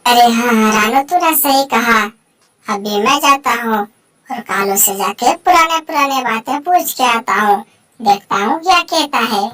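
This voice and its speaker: Woman voicing an exasperated, high-voiced cartoon crow wife. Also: animated, measured pace, fairly bright tone. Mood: worried. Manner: repetitive, complaining. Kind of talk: Hindi animated bird story dialogue.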